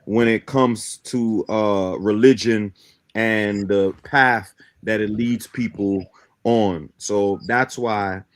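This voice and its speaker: New guy, lowish voice, teaching his ideas on a talk show, keeps checking you follow. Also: steady tone, takes it slowly. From born in Guyana, grew up in Brooklyn, New York. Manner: didactic, often checks in with "right?". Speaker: guest, teacher of a belief system. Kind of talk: explanatory talk on an interview show.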